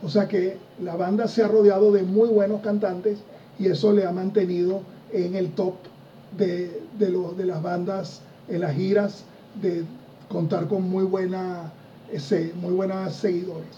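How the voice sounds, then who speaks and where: A man's voice: high voice, level tone, unhurried, mellow, far from the mic, highs cut off, a little hiss or hum. A man co-hosting a progressive rock music show, chatting casually and enthusiastically about bands, with frequent fillers. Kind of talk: casual radio-style music chat.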